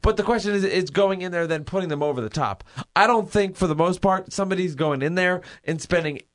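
A man co-hosting a talk radio show, ranting excitably, swearing and repeating himself as he makes his point. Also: high-pitched, brisk.

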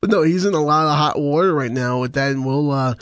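Soft-voiced man with a strained throat. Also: fairly high pitch, fairly quick, smooth, no echo, clean studio sound. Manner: self-deprecating, joking. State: strained, weak voice. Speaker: sports radio co-host. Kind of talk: casual banter. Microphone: studio microphone.

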